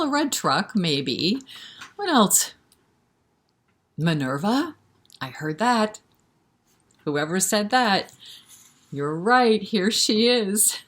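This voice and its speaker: A woman leading a children's story time, reading a picture book expressively and chatting to the children with questions and encouragement. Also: mid-range voice. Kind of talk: animated picture-book read-aloud with asides to children.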